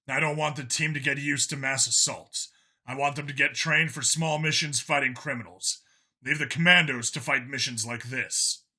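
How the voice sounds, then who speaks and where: A principled commander of a police team, played by a male narrator, speaks with measured decisiveness. The voice is middling in pitch and very bright and forceful, in storybook dialogue. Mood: firm, serious. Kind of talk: audiobook character dialogue.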